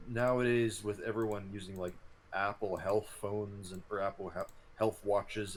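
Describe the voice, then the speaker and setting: A man co-hosting a gaming show, discussing gameplay casually in a halting, analytical, self-correcting way. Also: fairly low pitch. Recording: clearly noisy room ambience.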